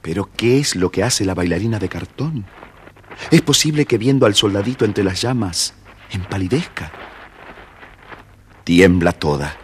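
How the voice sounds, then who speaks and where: A man's voice: lowish voice, lively intonation, moderate pace, long pauses, a little hiss or hum. A man with an Argentine accent narrating a scripted children's tale, speaking directly to young listeners. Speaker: storyteller, narrator.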